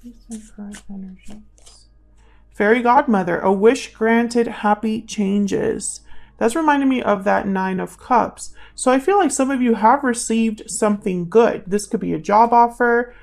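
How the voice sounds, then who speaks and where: A woman's voice: a little high, a little slow, gravelly, darkish tone. A woman giving a casual, intuitive tarot reading as a channel host, musing aloud and sometimes trailing into murmured questions.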